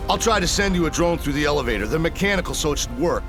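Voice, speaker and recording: An actor playing an anxious tech operator in a video game, with an urgent, worried, technical manner. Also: male voice, a little high, animated, rapid-fire, natural voice. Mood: concerned instructing. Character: anxious male tech operator aiding a soldier by radio. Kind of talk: video game cutscene acting. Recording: music behind the voice. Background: music.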